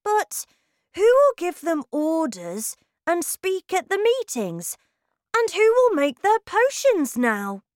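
A woman's voice: extremely high pitch, wildly swooping pitch, slow pace, dry recording, clean studio sound, voice pushed up, heavily acted. A woman voicing an eager, indignant small boy in a children's storybook, full of breathless questions and exclamations. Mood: eager, excited. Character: excitable young boy turned mouse, eager and indignant.

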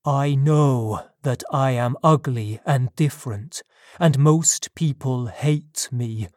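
A narrator voicing a lonely, wronged male monster in an audiobook story, pleading yet menacing, with slow, deliberate delivery. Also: medium pitch, a little mellow, no echo, clean studio sound, lightly acted. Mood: reproachful. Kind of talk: dramatised audiobook dialogue.

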